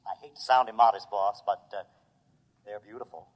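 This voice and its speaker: A man's voice: fairly low pitch, steady tone, a little fast, natural voice. A man playing an earnest, deferential robot in a 1950s radio drama, modest and matter-of-fact as he serves his boss. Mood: calm, obliging. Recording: vintage radio, long reverberant ring.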